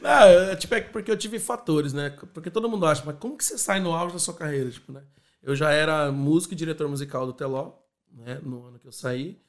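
A Brazilian man speaks as a musician guest in a relaxed interview. His voice is somewhat high for a man, and he tells his story in slangy, halting bursts full of fillers.

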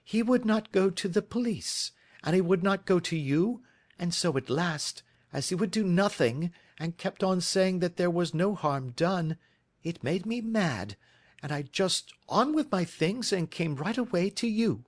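A man's voice: high-pitched, animated, measured pace, voice pushed up. A man reading aloud, voicing a flustered, earnest young woman who talks eagerly about her troubles.

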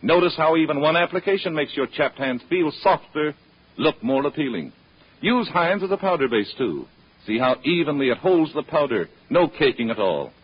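A man's voice: a little high, big pitch swings, normal speed. An American man reading an old-time radio advertisement as announcer, persuasive and carefully enunciated. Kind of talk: 1930s-40s radio advertisement read. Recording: muffled, husky-sounding old radio recording.

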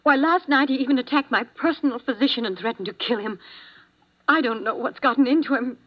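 A woman playing a frightened, distraught wife in a 1950s radio drama, her voice husky and breathy. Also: high voice, a little fast. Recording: muffled old 1950s radio recording.

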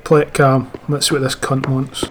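A man's voice: mid-range voice, little pitch movement, normal speed, noisy background, natural voice. A man with a Scottish accent playing a crude, hot-tempered gang leader who swaggers as he talks. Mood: swaggering.

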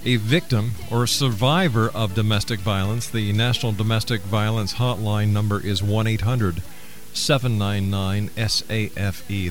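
Earnest male radio talk host. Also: on the low side. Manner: earnest, halting with fillers. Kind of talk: radio interview hosting.

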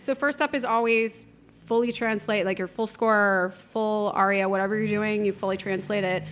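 A woman's voice: mid-range voice, steady tone, moderate pace. A young adult woman, an opera singer, chatting as a podcast guest, candid and laughing often. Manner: laughing, candid, self-mocking. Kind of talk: casual chat.

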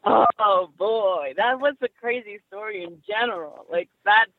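A woman's voice: medium pitch, a little slow, a little hiss or hum. A woman wrestler telling stories in a casual interview, laughing often. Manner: laughing, animated storyteller. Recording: tinny phone line.

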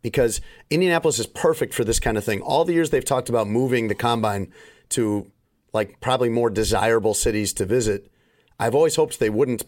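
A middle-aged man talks casually on a podcast at a middle pitch, telling loose rambling stories full of fillers. His voice is still recovering and sits a little narrow in range. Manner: rambling storyteller, frequent fillers. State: recovering voice, slightly limited range. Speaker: podcast host.

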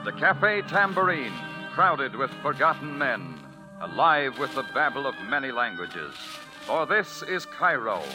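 A man reading a dramatic, measured introduction as a vintage radio announcer. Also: medium pitch, big pitch swings, rough recording. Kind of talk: 1940s radio scripted narration. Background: music.

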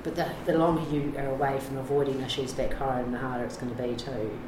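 Middle-aged New woman, very deep voice, frank and rambling, echoey room with noise. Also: fairly even intonation, fast. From New Zealand. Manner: frank, rambling, many fillers and restarts. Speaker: addiction recovery navigator. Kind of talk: candid interview talk.

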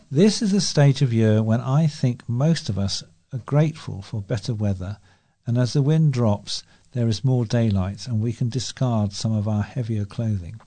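A man reading letters and articles aloud as a volunteer news reader, in a measured, scripted delivery. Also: mid-range voice, mellow.

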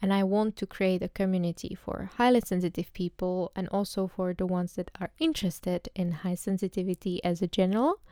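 A young woman from the Czech Republic with a Czech accent, hosting her own podcast, speaking English as a second language with many pauses and restarts. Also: medium pitch, darkish tone, smooth, dry recording, clean studio sound. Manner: hesitant, many ums and restarts. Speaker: podcast host. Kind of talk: solo podcast monologue.

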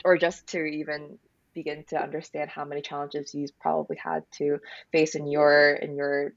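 A woman co-host with a low, gravelly voice asks a question haltingly, searching for her words. Her delivery stays flat. The recording is dry and slightly dull. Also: no room sound. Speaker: podcast co-host. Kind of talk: interview questions.

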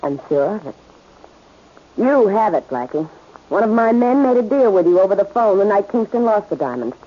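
A woman's voice: medium pitch, big pitch swings, moderate pace, husky. An actress playing a stubborn, scheming female crime boss, curt and defiant, in 1940s radio style. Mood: cold, accusing. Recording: muffled old-time radio recording.

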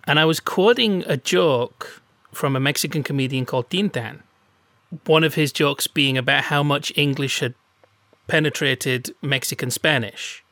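A man's voice: a little high, normal speed, pushed and bright, no room sound. A man co-hosting a casual chat show, telling playful anecdotes. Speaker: podcast co-host.

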